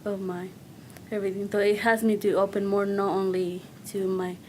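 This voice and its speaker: A young woman from Mexico with a Mexican Spanish accent, answering interview questions as a student and pausing to search for her words. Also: mid-range voice, little pitch movement, slight background noise. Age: young adult.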